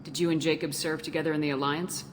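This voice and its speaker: A woman's voice: level tone, quick pace, soft background music, lightly acted. An actress playing a tough, wary female military commander in a video game, blunt, direct and challenging. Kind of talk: video game dialogue acting. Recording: reverberant, noisy game audio with rumble.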